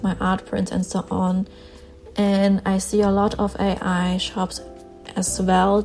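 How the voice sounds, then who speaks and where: A woman's voice: mid-range voice, warm tone. A woman with a German accent, a non-native English speaker, giving her views earnestly while she draws for her channel, sometimes searching for words. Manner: earnest, plain-spoken, with small word searches and repeats. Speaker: artist vlogger. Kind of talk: casual talk over a drawing session.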